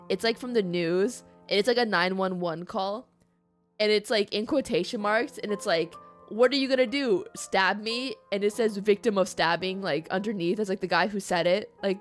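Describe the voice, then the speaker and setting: A woman streaming a game, reacting casually in an expressive, joking and gushing way. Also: mid-range voice. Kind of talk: casual live reaction commentary. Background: game music.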